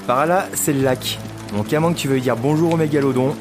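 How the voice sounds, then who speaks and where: A chatty townsman acted by a man in an audio drama. He talks in familiar slang, teasing yet helpful, at a middling male pitch. Music plays clearly behind him. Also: a little fast, lightly acted. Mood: amused, proud. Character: chatty working-class local townsman, mocking but helpful. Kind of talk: French audio drama dialogue.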